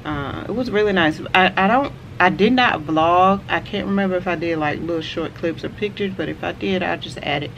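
A woman's voice: on the low side, a little fast, hardly any pauses, lo-fi sound. Chatty woman on a noisy porch. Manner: relaxed, rambling, frequent fillers. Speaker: vlogger. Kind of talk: casual chat to camera. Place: outdoor porch of a house. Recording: outdoor camera audio with clear background noise.

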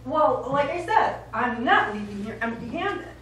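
Stubborn high-voiced wife played by a woman, very echoey. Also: big pitch swings, measured pace, a bit bright, exaggerated voice. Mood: irritated, insistent. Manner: emphatic, wordy. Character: stubborn, earnest, argumentative wife. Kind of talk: live stage play acting. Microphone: far camera. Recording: very echoey stage, distant mic, noisy.

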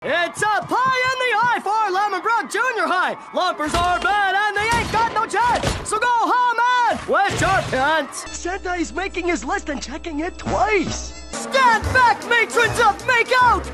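A man voicing a dim-witted, excitable, childlike cartoon boy with a goofy, dopey voice and exuberant delivery. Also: extremely high pitch, a little slow, hardly any pauses, loud music behind the voice. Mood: wild excitement. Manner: loud, exuberant, childlike exclamations. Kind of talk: animated cartoon voice acting.

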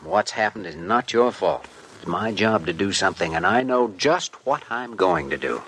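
Guy playing calm young doctor in fifties radio show, low voice that moves around a lot, old noisy recording. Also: normal speed, close to an everyday voice. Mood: firm, reassuring. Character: calm, reassuring young male hospital doctor. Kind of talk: 1950s radio drama acting.

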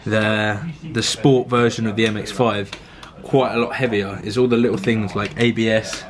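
A man's voice: on the low side, little pitch movement, moderate pace, crowd noise. A young man with a British accent chatting casually as a car vlogger on a handheld camera. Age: young adult. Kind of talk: casual vlog chat about working on a car. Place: garage and driveway. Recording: noisy handheld camera audio.

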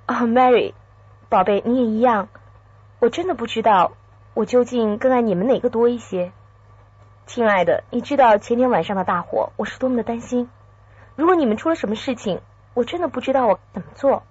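An actress playing a secretive, troubled but tender young woman in a radio drama, with a husky, breathy voice. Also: fairly high pitch, a little fast, darkish tone, no echo. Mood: tender, conflicted. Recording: dry, slightly dull studio sound.

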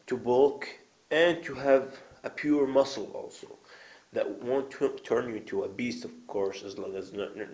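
A man's voice: on the low side, darkish tone, lo-fi sound. A man casually showing his gym supplements to a camera, rambling with many fillers and blunt, crude words, recorded on a built-in mic in a small room. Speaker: vlogger. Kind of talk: casual product show-and-tell.

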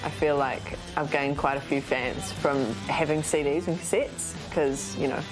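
A woman's voice: low-pitched, fairly even intonation, unhurried, music in the background, rough recording. A young woman talking casually as a musician being interviewed. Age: young adult. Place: small indoor room.

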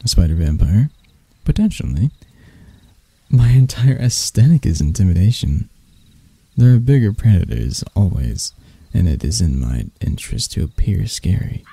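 A man voicing a deranged, seductive spider vampire in an intimate ASMR roleplay, soft and breathy, teasing and theatrical, doting yet menacing. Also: on the low side, dry recording, full character voice. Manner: slow, teasing, theatrical, with dark chuckles.

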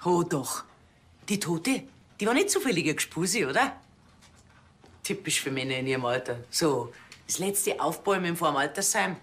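An actress playing an arrogant, sharp-tongued woman in a TV crime comedy, taunting and mocking. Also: fairly low pitch, animated, normal speed, long pauses. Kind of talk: television crime comedy acting.

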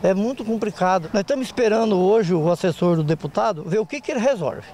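A man's voice: high-pitched, lively intonation, quick pace, warm tone, slight background noise. An older man with a Brazilian Portuguese accent, a market association president speaking plainly in a street interview into a handheld mic outdoors. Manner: plain-spoken, rural speech, repeats words. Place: open-air market lot outdoors. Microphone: handheld reporter mic.

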